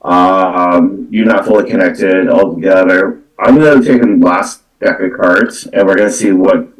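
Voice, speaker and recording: A man giving a live spiritual card reading as a psychic medium, speaking in halting, repetitive phrases. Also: sits low, recorded from a distance. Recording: roomy, echoey, away from the mic.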